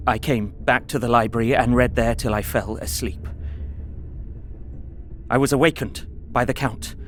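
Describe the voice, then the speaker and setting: A man's voice: lowish voice, close to an everyday voice. An actor playing a fearful, earnest young Englishman, narrating his journal in a dramatic audio drama. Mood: grim dread.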